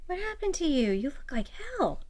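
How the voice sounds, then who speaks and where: A worried young college friend in an audio drama. Her voice sits a little high. She is casual and blunt, full of questions. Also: very animated, moderate pace, darkish tone. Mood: blunt concern.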